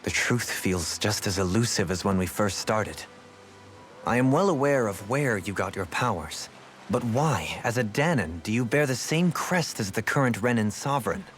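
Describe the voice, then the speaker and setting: A man's voice: lowish voice, lively intonation, moderate pace, a bit bright. An actor playing a refined, thoughtful nobleman turned rebel in a video game dub, eloquent, formal and pensive. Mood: pensive, puzzled. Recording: game music playing behind. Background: background music.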